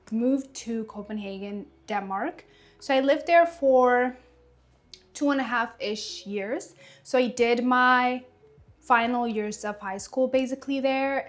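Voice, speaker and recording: A woman in her thirties from Ethiopia, chatting casually to camera at home while doing her skincare, rambling and correcting herself as she goes. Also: a little high. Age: 30s. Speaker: lifestyle vlogger.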